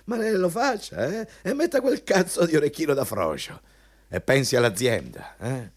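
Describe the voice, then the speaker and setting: An actor playing a bullying, pompous company boss in a radio drama, lecturing and interrogating an underling about the rules. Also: male voice, a little high, very animated, moderate pace, slightly rough. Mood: menacing, hectoring.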